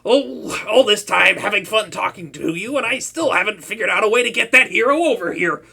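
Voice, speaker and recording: A man's voice: high voice, expressive, brisk, creaky, sharp tone, husky, heavily acted. A man playing a flustered, boastful young male supervillain who stammers and swings between moods. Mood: excited. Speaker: voice actor. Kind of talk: hobby audio roleplay to a listener.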